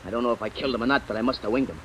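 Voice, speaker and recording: An actor playing a hard, impatient gang lieutenant in an old radio drama, curt and bossy with underworld slang. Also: male voice, medium pitch, racing, natural voice. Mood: defiant, urgent. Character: Rankin, hard impatient male counterfeit gang boss. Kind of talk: 1930s radio drama acting. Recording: noisy old radio recording with rain.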